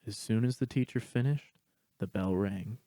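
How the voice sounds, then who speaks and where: Low, mellow male story narrator. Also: moderate pace, no echo.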